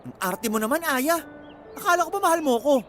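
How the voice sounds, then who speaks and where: A man's voice: very high voice, expressive, fairly quick, a bit bright, a little hiss or hum. A man playing a young student boyfriend, sweet-talking and pushy, in a Tagalog radio drama. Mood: pushy, annoyed. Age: young adult.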